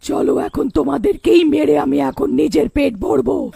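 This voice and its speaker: A hungry, wicked witch from a children's cartoon. The voice is high and thick with creak, dark in tone. It gloats and threatens with relish. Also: takes it slowly, no room sound, clean studio sound, full character voice. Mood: gloating menace. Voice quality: croaky, gravelly cackle. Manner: gloating, menacing. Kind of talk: children's cartoon villain acting.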